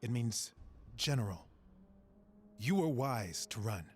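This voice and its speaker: A man's voice: medium pitch, lively intonation, takes it slowly, darkish tone, slight background noise. An actor voicing a grave, formal male marshal in a fantasy video game. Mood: solemn.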